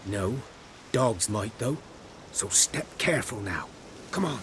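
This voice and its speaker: A weary outcast village hunter. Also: male voice, on the low side, animated, takes it slowly. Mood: guarded. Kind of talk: video game voice acting. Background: game music.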